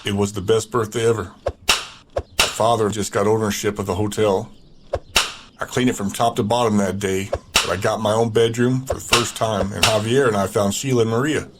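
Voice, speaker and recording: A man's voice: on the low side, fairly even intonation, normal speed, close to an everyday voice. An actor playing a cocky, menacing boss who taunts and commands his underling in an audio drama. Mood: cocky, commanding. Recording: loud noisy background with clicks.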